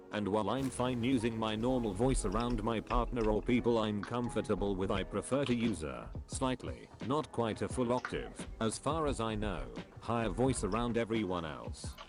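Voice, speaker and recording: A man reading forum posts aloud at a flat, even reading pace. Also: lowish voice, moderate pace, hardly any pauses. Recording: music playing loudly behind.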